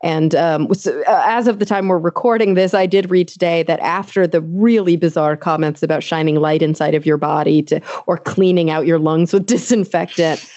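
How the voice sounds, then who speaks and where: A young adult woman hosting a casual podcast interview, chatty and animated, laughing often and using fillers like "like" and "um". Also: on the low side, moderate pace, few pauses, no echo, highs cut off. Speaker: podcast host.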